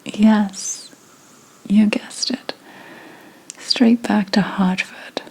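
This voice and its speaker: Woman whispering as a slow, breathy, indignant witch. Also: mid-range voice, flat delivery, a little mellow, heavily acted, low-quality recording. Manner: slow, deliberate, dramatic pauses. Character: indignant secretive 17th-century witch, reincarnated, conspiratorial. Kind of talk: whispered ASMR roleplay.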